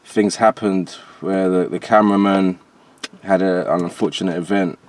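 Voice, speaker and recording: A man with a low, deep voice and a transatlantic accent. He is an interview guest from Jamaica, a rapper who speaks in loose, slangy, conversational phrases. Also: fairly even intonation, normal speed, a little mellow. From Jamaica, later moved to England. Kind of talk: casual interview talk.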